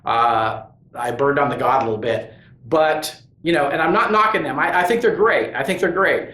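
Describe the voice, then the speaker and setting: A man's voice: a little high, moderate pace, pushed and bright. Earnest, rambling man in an echoey room. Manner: rambling, earnest, with many restarts and "you know". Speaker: host of a tabletop gaming crafts channel. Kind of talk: casual opinion monologue to camera.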